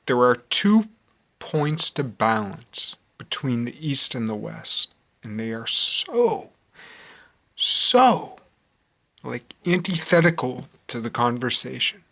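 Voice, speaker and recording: A man in his forties with an American accent, giving a rambling, reflective monologue in a halting, musing way with repeated phrases. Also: medium pitch, animated, vocal fry, pushed and bright. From United States. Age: 40s. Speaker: vlogger.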